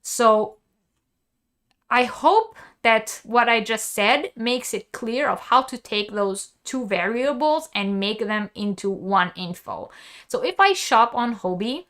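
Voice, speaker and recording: Woman, Hebrew speaker, slow steady delivery, teaching yarn basics, reads viewer chat. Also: a little high. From Israel. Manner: explanatory, many ums and uhs. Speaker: crochet teacher hosting a live stream. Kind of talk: live-stream tutorial, reading chat.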